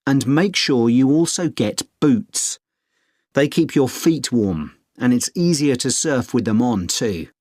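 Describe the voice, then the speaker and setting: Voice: mid-range voice, lively intonation, slow pace, a little mellow, dry recording, studio quality. A speaker in a scripted exam dialogue, explaining costs and tips in a friendly way. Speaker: surfing adviser in dialogue. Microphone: studio microphone, dry.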